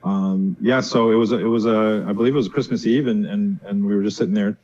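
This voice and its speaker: A man raised in the United States talks as a guest on a show, thoughtful and hesitant, pausing with fillers. His voice is low and mellow. The recording sounds dull and lo-fi. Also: fairly even intonation. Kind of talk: casual interview talk.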